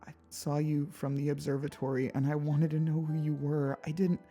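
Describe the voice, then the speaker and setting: Woman playing gentle, pleading young prince, music behind. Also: sits low, flat delivery, normal speed. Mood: earnest, hesitant. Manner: hesitant, pleading. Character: earnest, gentle young male crown prince.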